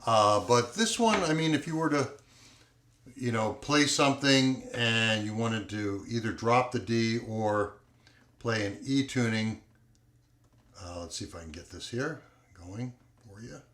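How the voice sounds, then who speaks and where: A man reviewing an acoustic guitar in a relaxed, rambling way, with lots of fillers and a loud voice. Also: mid-range voice, unhurried, a little hiss or hum. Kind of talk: casual product review with playing. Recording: echoey room, guitar playing behind.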